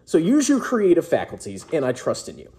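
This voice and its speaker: A man's voice: fairly high pitch, wildly swooping pitch, brisk, warm tone, breathy edge. A man in his thirties talking casually to camera like a jokey, brash showman, making an emphatic announcement. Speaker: personal finance vlogger, host. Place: small indoor room at home.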